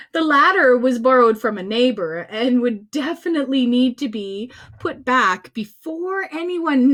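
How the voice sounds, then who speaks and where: High-pitched, playful woman storyteller for children. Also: fairly bright tone. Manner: playful, exaggerated expression, addressing children directly. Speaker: children's storyteller and singer hosting a livestream. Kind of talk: animated read-aloud and chat for young children.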